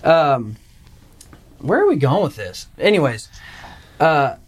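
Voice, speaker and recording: A man's voice: on the high side, big pitch swings, slow, breathy edge. A young man from Arkansas hosting a hunting podcast in a casual, joking, rambling way. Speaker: podcast host. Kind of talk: casual chat.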